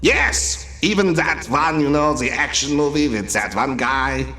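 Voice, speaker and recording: An actor playing a bombastic, petty, silly supervillain in a comic video game, with a grandiose, hammy delivery. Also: male voice, on the high side, unhurried, pushed and bright. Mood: petulant menace. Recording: echoey, with music behind. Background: game music.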